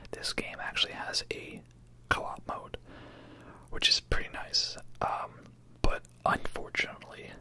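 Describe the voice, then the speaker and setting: A person whispering breathy, casual, rambling commentary over a video game in an ASMR style. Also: bright, forceful tone.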